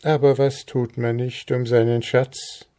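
Give me a man putting on an eager young farm girl in a storybook, voice raised high, words taken slowly. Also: little pitch movement, mellow, lightly acted. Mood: wistful.

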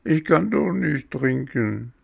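A man's voice: medium pitch, expressive, takes it slowly, creaky, breathy edge, pitched above the performer's own voice, full character voice. A man voicing a stubborn, easily frightened little puppet boy in a storybook, whining and pleading dramatically. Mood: whiny, fussy. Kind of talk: storybook character voice.

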